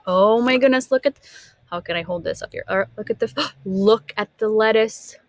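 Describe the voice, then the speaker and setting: A woman's voice: medium pitch, no room sound. A woman teacher reading a picture book aloud to young children expressively, stopping to put questions to her listeners. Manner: expressive, dramatic gasps and emphatic drawn-out words.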